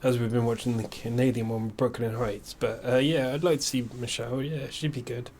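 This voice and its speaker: A man co-hosting a casual podcast chat, laid-back and quick to agree. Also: mid-range voice, flat delivery, darkish tone. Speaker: podcast co-host. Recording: faint background noise.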